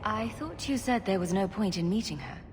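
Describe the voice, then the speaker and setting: A woman's voice: medium pitch, expressive, brisk, a little hiss or hum, close to an everyday voice. A woman playing a caring female hero. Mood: concerned. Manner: firm, caring. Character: compassionate female champion, player hero.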